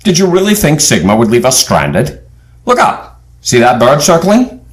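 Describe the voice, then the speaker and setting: A man playing a courteous, wry leader of an adventure team in an audio drama, polite and measured in his wording. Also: medium pitch, huge pitch swings. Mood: knowing.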